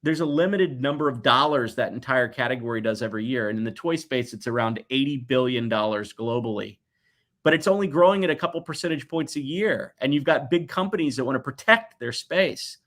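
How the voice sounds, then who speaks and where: A man in his forties speaks at a middle pitch in a conversational interview style. He is earnest and reflective, and he leans on key words with pauses for emphasis. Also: a bit bright. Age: 40s. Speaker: guest, toy company executive.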